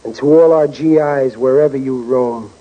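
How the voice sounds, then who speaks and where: A man's voice: mid-range voice, normal speed, lightly acted. A man playing a bashful, folksy working-class father and amateur poet in a 1940s radio comedy. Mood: sentimental, jokey. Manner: hesitant, folksy, chuckling at himself. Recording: dull, slightly noisy 1940s radio recording.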